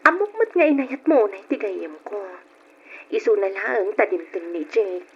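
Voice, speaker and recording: A woman's voice: medium pitch, expressive, moderate pace, slightly rough. A woman acting in an Ilocano radio drama, playing a supportive, caring single friend. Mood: consoling. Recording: muffled old radio recording.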